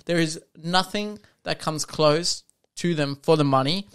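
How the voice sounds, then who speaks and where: A man's voice: fairly high pitch, measured pace, a bit bright, smooth. A man co-hosting a casual car podcast, talking with enthusiasm, often jumping in and laughing. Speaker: podcast co-host. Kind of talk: casual chat.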